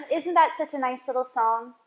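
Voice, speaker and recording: A woman reading a picture book aloud for a children's storytime, expressive and often asking the listeners questions. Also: sits high, a little slow, echoey room. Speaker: library storytime reader.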